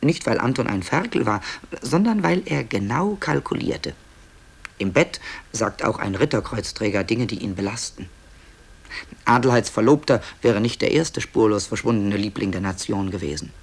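A man's voice: on the low side, lively intonation, moderate pace, creaky, husky, heavily acted. A man narrating a 1960s radio play as a dryly ironic, confiding author who hesitates and stammers. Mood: musing. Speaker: narrator presenting tape recordings.

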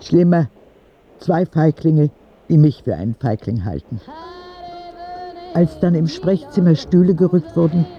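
A man's voice: fairly high pitch, expressive, a little slow, pauses often, dull audio. A man playing a frank, cheeky ten-year-old boy who tells his story in candid, wry audio drama narration, in standard German. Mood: bitter.

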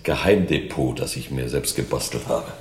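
An actor playing a self-assured, cautious crook in a radio crime drama, speaking deliberately with sardonic maxims. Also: male voice, very deep voice. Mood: proud, savoring. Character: wry, self-assured male crook, cautious gang planner. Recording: roomy echo, faint background noise.

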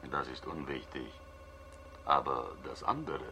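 A man playing a resigned, conciliatory captive crewman in a science fiction radio drama, speaking gravely in a hushed, halting way. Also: extremely low pitch, big pitch swings, full character voice. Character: resigned, conciliatory male space station crewman held captive. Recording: noisy amplified background recording.

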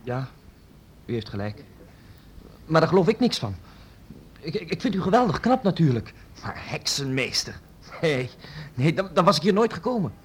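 An actor playing a loyal, earnest young apprentice in a radio play, stammering at times. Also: male voice, on the high side, sing-song, normal speed, long pauses, breathy edge. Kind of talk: radio drama acting.

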